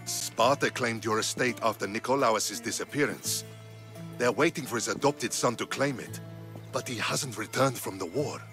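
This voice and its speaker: A man playing a shrewd, earnest Spartan officer in a video game cutscene, deliberate and persuasive. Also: mid-range voice, over music, lightly acted. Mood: measured, wry.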